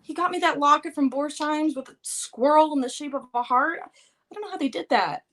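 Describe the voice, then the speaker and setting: A woman's voice: sits high, a little fast, fairly bright tone. A woman playing an edgy, anxious daughter in a live play reading, speaking in a hesitant, earnest ramble. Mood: agitated, conflicted. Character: anxious, edgy daughter confessing violent urges.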